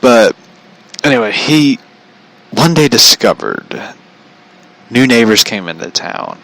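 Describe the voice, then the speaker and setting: Bright-voiced American man, casual storyteller. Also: mid-range voice, big pitch swings, slow pace, rough recording. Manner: blunt, profane, slangy; chuckles often while talking. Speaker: show host and storyteller.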